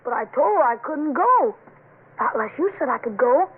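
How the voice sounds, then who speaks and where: A high-voiced, eerie little boy. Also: very animated. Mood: pleading. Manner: innocent, gee-whiz, slow. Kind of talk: 1970s radio suspense drama acting. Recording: tinny, noisy old radio recording.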